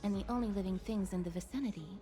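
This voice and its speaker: Actress as solemn young maiden, gentle, formal, middle register, soft-spoken, video game score behind. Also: female voice, mid-range voice, very fast, music in the background, close to an everyday voice. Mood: calm. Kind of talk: video game drama acting.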